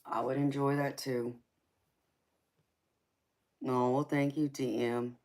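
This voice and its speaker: A woman with a Southern US accent talks with her live stream viewers in an easy, chatty way. She speaks at an unhurried pace, laughs often and calls people baby. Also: rumbling low, little pitch movement, a little mellow. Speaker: tarot reader hosting a live stream. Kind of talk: casual live chat with viewers.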